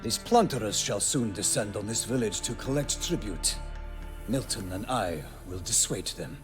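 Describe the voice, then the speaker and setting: A man's voice: fairly low pitch, animated, normal speed, music in the background, natural voice. A man voicing a noble, idealistic knight errant in a video game, speaking in formal, archaic, oratorical phrases. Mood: solemn, earnest.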